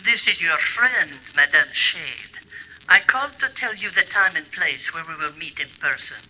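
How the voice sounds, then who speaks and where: A woman's voice: on the low side, lively intonation, normal speed, bright, forceful tone, rough recording. Piercing, imperious radio villainess played by a woman. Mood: coldly imperious. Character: Madame Shade's recorded voice, cold scheming villainess. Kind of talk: old-time radio villain acting. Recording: live stage recording, faint noise.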